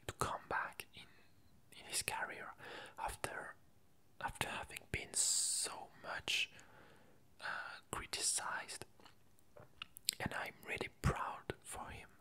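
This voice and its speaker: Slow, bright ASMR whisper. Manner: hesitant, halting, self-correcting. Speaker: ASMR video creator. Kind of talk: whispered ASMR, casual rambling chat.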